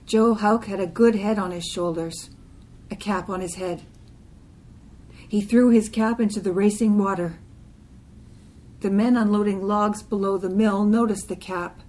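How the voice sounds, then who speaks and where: A woman's voice: medium pitch, steady tone, a little slow, long pauses, a little mellow. A woman reading a literary poem aloud as part of a group reading. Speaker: poetry reader.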